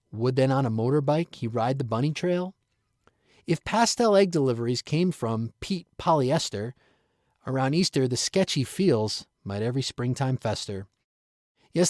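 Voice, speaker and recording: A man reading his own rhyming poem aloud in a measured, playful recitation. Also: medium pitch, darkish tone, dry recording, clean studio sound. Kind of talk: rhymed poetry recitation.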